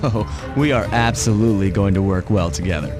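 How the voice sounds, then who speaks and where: A man's voice: fairly low pitch, fairly quick, close to an everyday voice. A man as a cool, wry cartoon raccoon thief. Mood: amused, flirtatious. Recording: music behind the voice.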